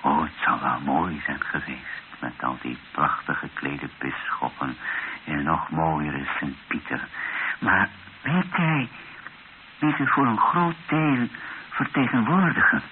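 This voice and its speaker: Weary bishop, low voice, grave and reflective, radio drama, hissy old recording. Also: male voice, expressive, phone-quality sound, heavily acted. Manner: reflective, grave, rhetorical, with deliberate pauses.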